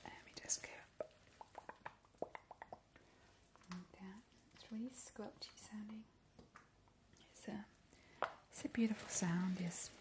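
A woman playing a playful, ghoulish vampire in a whispered ASMR roleplay, breathy and slow, with soothing relish. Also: mid-range voice, steady tone, lightly acted. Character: playful, ghoulish immortal female vampire baking gruesome treats. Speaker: ASMR host in character. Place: home kitchen, indoors. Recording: noticeable background hiss, soft clicks.

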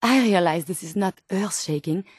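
A woman's voice: fairly low pitch, moderate pace, slightly rough, dry recording, studio quality. A woman playing an earnest, polite female robot scientist in a video game, with a French accent and formal, precise wording. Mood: scholarly. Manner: formal, precise, carefully worded. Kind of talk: video game character dialogue.

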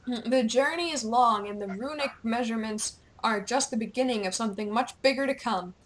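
A young woman gamer with a playful, excitable, teasing manner, chatting over gameplay and reading game dialogue aloud. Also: a little high, fairly quick, rough recording. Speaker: gamer, video host.

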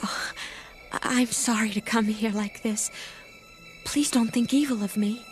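An actress playing a shy, humble young peasant widow in an animated Bible story, speaking hesitantly. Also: female voice, fairly high pitch, close to an everyday voice. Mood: timid, halting. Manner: hesitant, halting. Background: music.

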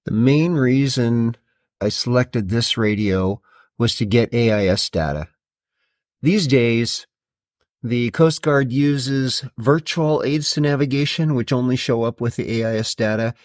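A middle-aged man narrating a hands-on how-to in a deliberate, matter-of-fact way. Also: mid-range voice. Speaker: host of a DIY boat install video.

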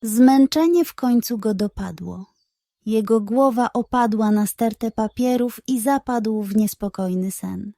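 A woman narrating a scripted bedtime story for children in an expressive, suspenseful way. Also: a little high, unhurried, no room sound, clean studio sound.

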